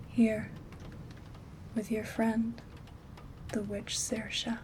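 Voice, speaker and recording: A woman playing a gentle, affectionate witch in a soft-spoken, whispered ASMR roleplay, soothing and tender with a breathy hush. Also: on the high side. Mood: calm, soothing. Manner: soothing, slow, hushed, formal and tender wording. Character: gentle, affectionate female witch and caring friend. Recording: steady background rain ambience noise.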